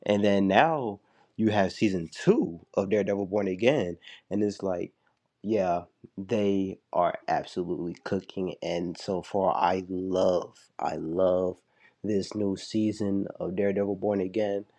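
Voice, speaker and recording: A young man with a low, deep voice talks through a casual review, slightly under the weather. His delivery is loose and rambling, peppered with "like" and half-finished restarts. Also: steady tone, measured pace, a little mellow. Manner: loose and chatty, full of "like" and restarts. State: a little sick. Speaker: show reviewer.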